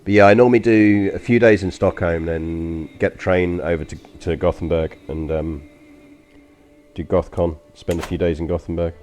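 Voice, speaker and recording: A man with a London accent, deep-voiced, muses aloud to his stream chat while playing a strategy game. His tone is mellow and unhurried, and his sentences wander with ums and uhs. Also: fairly even intonation. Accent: London English. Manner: rambling and chatty, frequent ums and uhs. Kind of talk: casual gameplay commentary to stream chat.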